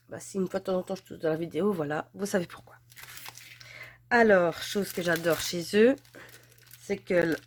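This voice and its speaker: Chatty woman with a head cold. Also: lowish voice. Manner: chatty, gushing, filler-heavy. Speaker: crafts channel host. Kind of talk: casual unboxing chat.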